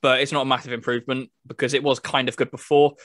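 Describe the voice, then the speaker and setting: Male podcast host, mid-range pitch, conversational and informal game-review chat, dry recording. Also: little pitch movement, quick pace, fairly bright tone, no echo.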